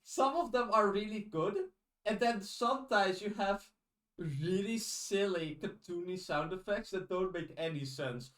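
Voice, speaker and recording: A man co-hosting a podcast in casual chat, giving opinions in a measured, hesitant way. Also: high voice, fairly bright tone. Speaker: podcast co-host. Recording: slightly distant from the mic.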